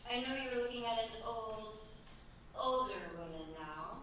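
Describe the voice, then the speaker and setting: A woman's voice: on the high side, lively intonation, a little slow, fairly bright tone, a little hiss or hum. A woman playing an anguished condemned prisoner in a staged radio play. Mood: tender, weary. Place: theatre stage before a mic. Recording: echoey stage hall, distant mic.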